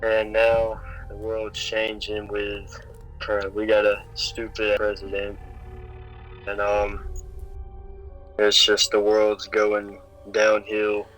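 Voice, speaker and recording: A man playing a grim, vengeful killer clown in a phone interview, speaking in a terse, halting, threatening manner. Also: fairly low pitch, completely flat delivery, a bit bright, soft background music, rough recording.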